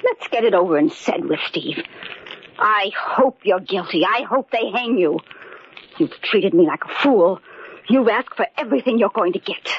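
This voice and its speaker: An actress playing a bitter, scornful society sweetheart in an old-time radio mystery. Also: female voice, mid-range voice, animated, moderate pace, breathy edge. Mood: cold, vindictive. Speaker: actor. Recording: muffled, noisy old radio drama recording.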